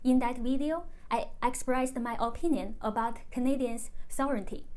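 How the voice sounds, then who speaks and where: A woman from China whose first language is Chinese, speaking in English in a calm, measured, carefully scripted monologue as a vlogger. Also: on the high side. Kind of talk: reflective personal monologue.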